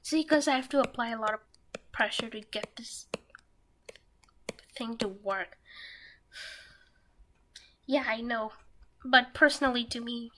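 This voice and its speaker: A young woman streaming herself while drawing, talking softly and half-whispering, a little nervous, with pen scratching heard behind her. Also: a little high, unhurried, pauses often. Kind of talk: casual live-stream chat while drawing. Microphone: desk microphone that picks up pen scratching. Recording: noisy home stream recording.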